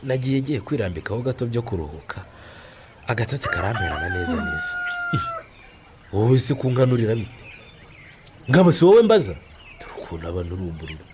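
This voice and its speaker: A man's voice: medium pitch, wildly swooping pitch, fast talker, pauses often. A man playing a grumbling, scolding village father in a radio drama. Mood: groggy complaint. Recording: farmyard noise with rooster.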